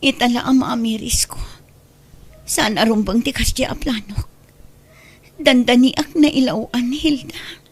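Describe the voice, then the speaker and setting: A woman voicing a young wife in an audio drama, speaking in an emotional, pleading and often hushed way with a husky voice. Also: on the high side, measured pace, long pauses. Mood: remorseful.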